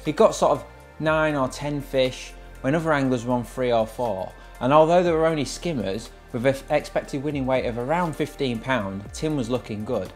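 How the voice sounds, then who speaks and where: Man, English accent, medium pitch, sports highlights commentary, light music bed. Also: moderate pace, darkish tone.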